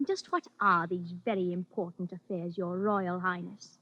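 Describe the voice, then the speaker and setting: An actress playing a practical, exasperated wife, scolding and sarcastic in a 1940s radio suspense drama. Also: female voice, fairly low pitch, fairly even intonation, normal speed, fairly bright tone, lo-fi sound, slight background noise. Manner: scolding, sarcastic, plain-spoken. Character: nagging, practical, exasperated working-class wife.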